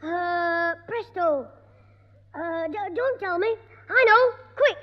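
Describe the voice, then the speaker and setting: Cheeky, scheming schoolboy, very high voice, old radio sound, dull and echoey. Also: male voice, lively intonation, exaggerated voice. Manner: cheeky, quick-witted, stammering when caught out. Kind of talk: 1960s radio sitcom acting. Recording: dull old radio broadcast with long reverb.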